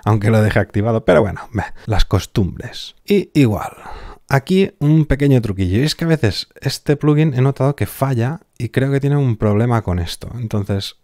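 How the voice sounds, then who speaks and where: A man giving an informal software tutorial, offhand and thinking aloud as he works, close on the mic. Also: medium pitch, animated, normal speed, dry recording. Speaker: online audio software instructor.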